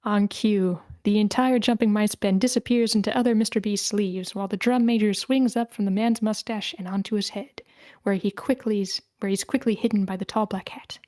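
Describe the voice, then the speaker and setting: A woman narrates stage directions from a script at a table read. Her voice sits at a medium pitch, and the recording is dry with no room sound. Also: fairly even intonation, fairly quick, dark tone, dry recording.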